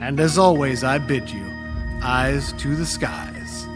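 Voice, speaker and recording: A man's voice: medium pitch, slow. A man reading scripted podcast credits as the show's announcer, with music playing behind him.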